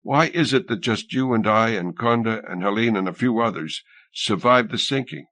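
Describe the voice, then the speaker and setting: A man's voice: lowish voice, moderate pace, a bit bright, no room sound. A man voicing a young drowned nurse, tender, weary and wistful, in an audiobook story. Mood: troubled wondering.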